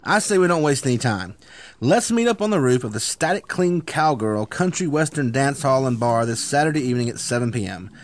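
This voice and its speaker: A man's voice: medium pitch, lively intonation, fairly quick, dry recording, natural voice. A man acting as a bossy, impatient ringleader with grandiose schemes in a comedic radio drama. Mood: excited scheming.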